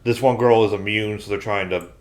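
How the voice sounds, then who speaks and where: American guy podcast host, lowish voice, just chatting with the others. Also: steady tone, brisk. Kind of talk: casual panel chat.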